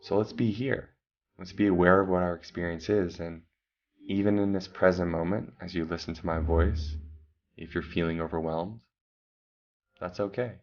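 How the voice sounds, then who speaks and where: A man calmly guiding a meditation, giving slow breathing instructions with long pauses. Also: low-pitched, lo-fi sound. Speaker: meditation guide. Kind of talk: guided meditation.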